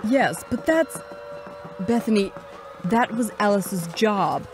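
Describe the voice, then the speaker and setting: Brisk, dry space adventuress, animated delivery. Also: female voice, mid-range voice, over music. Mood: exasperated. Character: brisk, sceptical adventuress Vale, telling her own space tale. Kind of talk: pulp sci-fi audio drama acting.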